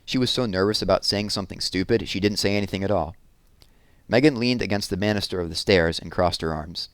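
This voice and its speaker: A man reading a children's novel aloud in an expressive storytelling style. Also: sits low, brisk, clear, resonant tone, no room sound.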